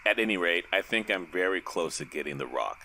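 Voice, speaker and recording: An actor playing a confident, wry, good-hearted superhero in an audio drama, speaking conversationally. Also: male voice, deep voice, expressive, brisk, bright, forceful tone, a little hiss or hum, full character voice. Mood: measured.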